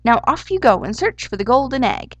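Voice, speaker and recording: A woman's voice: a little high, very animated, fairly quick, no room sound, voice pushed up. A woman voicing a fussy, eager male storybook hare with a German accent, exclamatory and easily indignant. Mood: proud. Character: excitable, fussy male Easter hare wearing pants. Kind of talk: storybook character voice.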